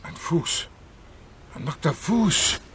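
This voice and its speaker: An actor playing an uneasy, dutiful steelworker in a radio play, speaking in short, urgent phrases. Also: male voice, a little high, sing-song, slow, a bit bright, slight background noise, heavily acted.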